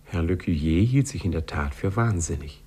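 The storyteller of an old radio drama. His voice is fairly low and husky, and he tells the scripted tale precisely, with grave irony. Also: expressive, moderate pace, a little mellow, heavily acted. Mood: measured, dramatic. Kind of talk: scripted radio play narration.

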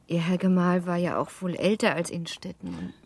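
An actress playing an earnest, anxious, well-bred young wife in a German radio play, polite and restrained in manner. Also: female voice, fairly low pitch, normal speed, darkish tone, breathy edge. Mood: polite, doubtful. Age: young adult.

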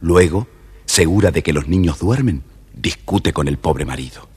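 A man narrating a children's fairy tale in an expressive, scripted style. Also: low-pitched, normal speed, husky. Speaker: storybook narrator. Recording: noisy old storybook recording.

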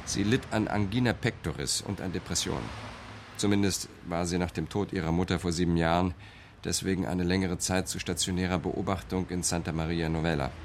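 An actor playing a composed, matter-of-fact police captain in a radio crime drama, giving an orderly briefing. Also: male voice, deep voice, steady tone, fairly quick, a little hiss or hum. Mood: factual briefing.

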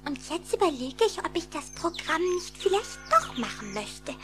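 An actress playing an excitable, affectionate little vampire girl in a children's audio drama, with an expressive, dramatic delivery. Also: extremely high pitch, normal speed, over music. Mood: eager. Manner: expressive, giggly, dramatic sighing.

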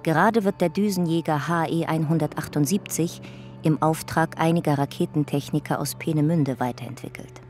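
An actress as a detached female narrator for a radio drama. Her voice is low and mellow, delivering scripted history in clipped, matter-of-fact phrases. Faint music plays underneath. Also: brisk, close to an everyday voice.

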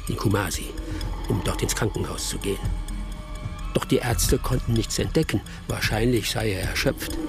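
An actor playing a village hunter who tells his story gravely and in measured phrases, in a radio play. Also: mid-range voice. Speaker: narrator. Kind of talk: dramatic first-person radio play narration. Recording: music playing behind the voice.

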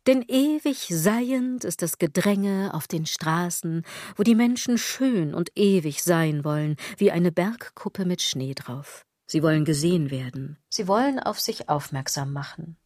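A woman's voice: on the low side, expressive, measured pace, a little mellow, no room sound, clean studio sound. An actress playing a sardonic, rambling woman in a literary radio drama monologue, ironic and insistent, often correcting herself. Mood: insistent, resigned. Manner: ironic, self-correcting, insistent rhetorical delivery. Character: sardonic, rambling woman brooding on fashion and clothes.

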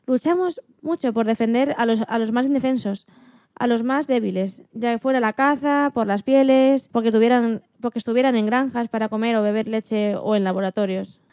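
A woman's voice: fairly high pitch, normal speed, a little mellow, dry recording, dull audio, close to an everyday voice. A woman playing an animal liberation activist and mother who tells her story earnestly in an acted radio interview, stumbling slightly now and then. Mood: earnest, indignant.

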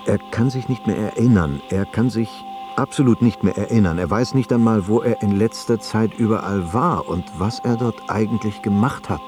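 A very deep male voice of an actor acts as a cool, analytical radio-play narrator. Phrases come clipped, with music clearly behind them. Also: moderate pace, lightly acted. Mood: clinical. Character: cool analytical narrator reciting corporate jargon. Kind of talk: scripted radio drama narration.

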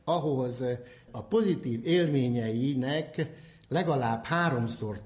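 Male expert lecturer, mid-pitched, formal and didactic, explaining in measured phrases. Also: a little mellow. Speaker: psychology expert.

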